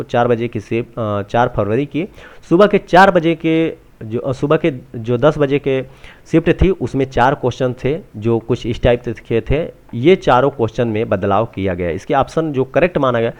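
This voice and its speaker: Man, mid-pitched, unscripted explainer, halting, repetitive phrasing. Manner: repetitive, halting, restating himself often. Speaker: presenter of exam answer-key updates.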